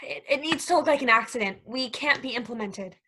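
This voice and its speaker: A woman's voice: on the high side, animated, a little fast, bright, forceful tone, a little hiss or hum. A woman playing a scheming, eager young plotter in a table reading of a stage play. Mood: conspiratorial, tense.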